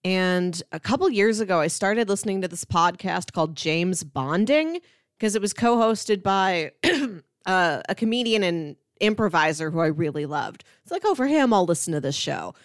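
A woman's voice: lowish voice, animated, moderate pace, dry recording, studio quality. A woman co-hosting a movie podcast, talking casually in a conversational, wry and joking way.

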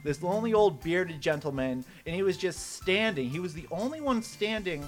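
Animated, higher-voiced man bantering on a podcast. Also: moderate pace, faint music behind. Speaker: podcast co-host. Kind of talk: comedic casual storytelling and banter.

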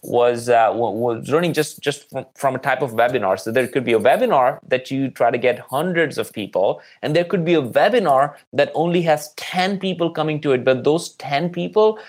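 Guy on a podcast explaining marketing stuff, mid-pitched voice, emphatic, repeats words to hammer the point. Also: normal speed, few pauses. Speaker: podcast guest, marketing expert. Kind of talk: conversational business advice, explaining with examples.

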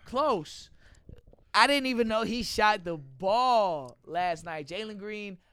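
Excitable man with a smooth high voice. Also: no room sound. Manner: loud, excitable, repeats "real quick". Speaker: podcast host. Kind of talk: casual podcast banter.

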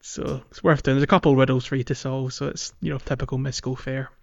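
A man co-hosting a podcast, chatting casually and explaining a video game playthrough in a halting way. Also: medium pitch, steady tone, vocal fry. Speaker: podcast co-host. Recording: dull lo-fi audio, music underneath. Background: background music.

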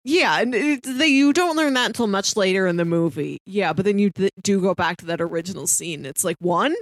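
A woman's voice: medium pitch, lively intonation, a little fast, no room sound, studio quality. A woman co-hosting a discussion in a casual, conversational style. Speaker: podcast co-host discussing films. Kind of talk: casual chat.

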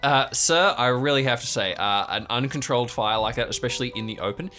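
A nervous policeman, played by a man in a tabletop game, earnest and by the book. He stammers politely as he tries to placate, in a bright, forward voice. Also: medium pitch, a little fast, soft background music, natural voice.

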